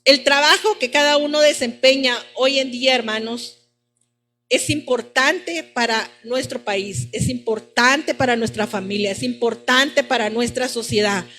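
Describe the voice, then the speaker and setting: High-pitched woman preaching. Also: a little slow, bright, forceful tone, a little hiss or hum. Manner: didactic, addresses the congregation, repeats phrases for emphasis. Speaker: preacher at a church service. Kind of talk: sermon, teaching from scripture. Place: church service, live-streamed.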